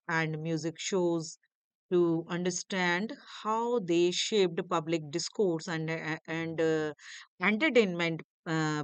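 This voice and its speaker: A woman reading a scripted academic lecture to learners in halting, deliberate phrases. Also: on the low side, clean tone, no echo.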